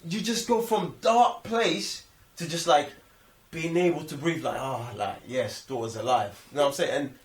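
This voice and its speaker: A young man with a London accent chatting casually about a film in an animated, slangy way. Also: fairly high pitch, moderate pace. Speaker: film review show host. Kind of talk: casual movie review chat. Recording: roomy, distant microphone.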